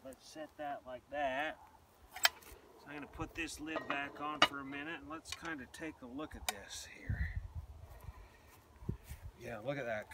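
A middle-aged man hosting a casual cooking demonstration, talking plainly and relaxed while he works with his hands, first in a kitchen, then in an open field. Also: medium pitch, creaky, far from the mic, background noise. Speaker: outdoor cooking host. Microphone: camera mic at a distance.

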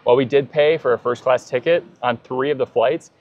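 A man's voice: medium pitch, moderate pace, lo-fi sound. A man in his thirties co-hosting a travel vlog, chatting casually and reading reviews aloud, on a lapel mic in a big airport terminal. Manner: easygoing, chatty. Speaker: travel vlogger co-host. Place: large airport terminal, indoors. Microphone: clip-on lapel mic.

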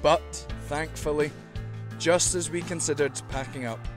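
A man's voice: a little high, steady tone, measured pace. A man with a Scottish accent giving scripted voice-over narration as a fishing guide and channel host, with dry, self-deprecating humor. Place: voice-over, not recorded on the shore. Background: guitar music.

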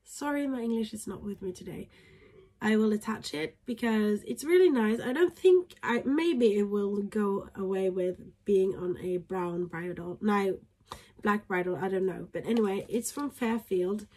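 A woman's voice: mid-range voice, animated, normal speed, warm tone. A Norwegian woman in her thirties with a Norwegian accent, chatting hesitantly to a camera at home, with lots of fillers and restarts. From Norway. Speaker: equestrian vlogger. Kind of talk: casual talk to camera. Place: small room indoors at home.

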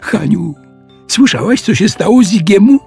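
A man voicing an excitable, proud schoolboy in a children's audio story, gushing and boastful. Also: high-pitched, a little slow, vocal fry, slightly rough, faint music behind, voice pushed up, full character voice. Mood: gleeful.

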